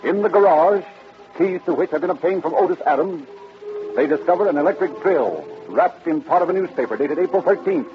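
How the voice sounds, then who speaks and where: An actor delivering solemn, authoritative scripted narration for a 1930s-style radio crime drama. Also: male voice, fairly high pitch, lively intonation, a little fast, natural voice. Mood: solemn, dramatic. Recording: dull old radio recording. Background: music.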